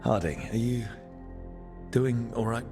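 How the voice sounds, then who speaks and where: An actor playing an earnest, steady mage who leads a team in a fantasy video game, speaking in a measured, serious way. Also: male voice, medium pitch, music in the background. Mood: concerned. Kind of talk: cinematic video game dialogue.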